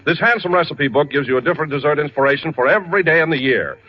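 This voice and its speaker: A man's voice: fairly high pitch, expressive, very fast, slight background noise. A man announcing a scripted 1940s radio commercial in a polished, persuasive way. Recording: muffled 1940 radio broadcast.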